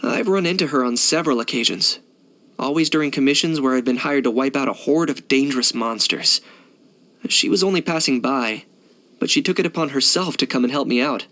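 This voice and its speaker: A man playing a cool, pragmatic young mercenary in a video game, with measured, understated delivery. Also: medium pitch, pushed and bright, close to an everyday voice. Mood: dry, matter-of-fact. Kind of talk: scripted video game character monologue.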